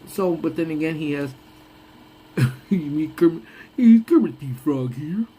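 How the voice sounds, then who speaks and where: A man's voice: on the high side, expressive, unhurried, mellow, slight background noise, rough recording. A young man hosting a casual lunch-break live stream, rambling and laughing often, with a voice that sounds congested. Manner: rambling, laughs often, trails off mid-thought.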